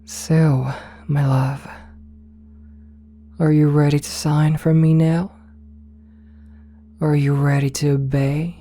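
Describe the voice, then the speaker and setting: A woman acts out a seductive, domineering lady CEO for an ASMR roleplay. Her voice is low, dark and mellow, soft and breathy to the edge of a whisper. She talks slowly and hypnotically, coaxing the listener with condescending, possessive affection. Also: flat delivery. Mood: teasing, domineering. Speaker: voice actor in an audio roleplay. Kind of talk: ASMR roleplay, intimate slow address to listener.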